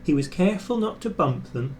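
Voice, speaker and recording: A man narrating a children's story in an expressive, scripted reading style. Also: a little high, a little fast, a little hiss or hum. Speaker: storybook reader.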